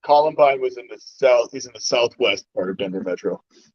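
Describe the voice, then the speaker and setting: A man's voice: medium pitch, fairly quick, no echo, muffled recording. A man hosting a casual chat, jokey and rambling, often laughing. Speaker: streamer, host of a chat.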